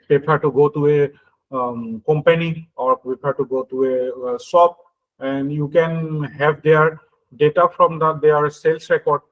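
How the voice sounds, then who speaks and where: A man with an Indian accent giving an explanatory lecture in a halting, hesitant way, often checking that listeners follow. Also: a little high, fairly bright tone, poor audio. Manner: halting and hesitant, frequently checks understanding with "okay" and "right". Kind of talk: explanatory lecture with everyday examples.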